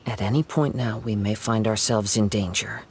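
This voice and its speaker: A man voicing a calm, sharp young detective in a game, laying out his reasoning in a composed, analytical way. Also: lowish voice, moderate pace, slight background noise, lightly acted.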